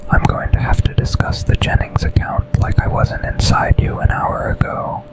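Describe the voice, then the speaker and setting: A man playing an intense, possessive yet tender office boss in a whispered, intimate ASMR boyfriend roleplay, speaking breathily in short, deliberate phrases. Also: close to an everyday voice. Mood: hushed, fond.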